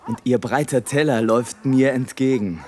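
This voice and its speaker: An actor playing a rapturous, scornful airship balloonist in a theatrical, declamatory radio play monologue, swinging between grand exultation and hush. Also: male voice, mid-range voice, normal speed, close to an everyday voice. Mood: marvelling. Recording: studio radio play with music.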